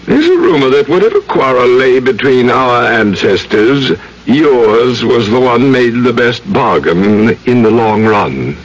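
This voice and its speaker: An actor playing a haughty, scheming heir in a radio drama, with a formal, curt way of talking. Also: male voice, medium pitch, animated, measured pace, poor audio. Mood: calculating. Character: haughty, scheming male heir of a landed family.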